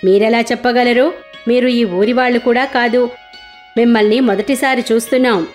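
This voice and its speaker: A woman's voice: on the high side, fairly even intonation, moderate pace, a little mellow, soft background music, close to an everyday voice. A woman doing a scheming bullying village villain. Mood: defensive. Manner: harsh, accusing. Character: greedy, scheming young village man, bullying.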